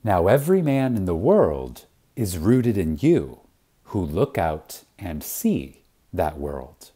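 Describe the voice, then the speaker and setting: A man reading a spiritual lecture like a sermon, reverent and emphatic, with deliberate pauses for effect. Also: low-pitched, big pitch swings, dark tone, breathy edge.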